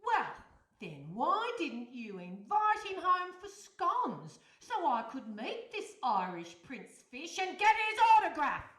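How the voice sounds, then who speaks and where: A woman's voice: high voice, sing-song, slow, a bit bright, exaggerated voice. An actress playing a nagging, sarcastic fisherman's wife in a live radio play. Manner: sarcastic, scolding, withering. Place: hall stage before a live audience. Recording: echoey stage hall, distant mic.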